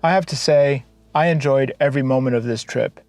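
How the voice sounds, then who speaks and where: A man, the vlogger, narrating his trip in a relaxed, casual voice-over. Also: mid-range voice, brisk, dry recording, soft background music.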